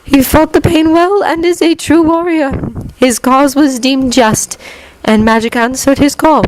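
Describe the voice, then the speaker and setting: A regal goblin wise woman of a fantasy story, as a narrator puts her on. Her voice floats high and soft. She speaks slowly and gravely, with serene authority. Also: steady tone, a little hiss or hum, low-quality recording. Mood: calm, solemn. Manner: soft, slow, murmuring. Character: regal female goblin seer, serene and solemn.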